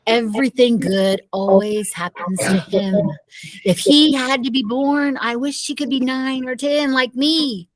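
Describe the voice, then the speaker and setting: A woman's voice: fairly high pitch, measured pace, dry recording, low-quality recording. A woman teacher reading the dialogue of a children's novel aloud, a little away from the microphone. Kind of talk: read-aloud storybook dialogue.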